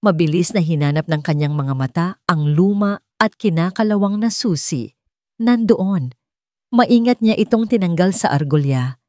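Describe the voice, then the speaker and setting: A woman's voice: lowish voice, lively intonation, normal speed, warm tone, dry recording, clean studio sound. A woman narrator reading a scripted, dramatic love story.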